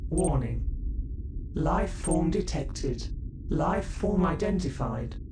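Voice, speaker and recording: A synthetic female voice playing a calm ship computer announcing scan results, with a computer voice effect. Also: sits low, slow, noisy background.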